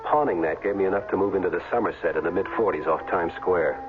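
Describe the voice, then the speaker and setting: A man's voice: sits low, fast, close to an everyday voice. A man acting in a 1970s radio drama as a wry, colloquial former football player down on his luck. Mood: wry, reflective. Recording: dull old radio recording, music underneath.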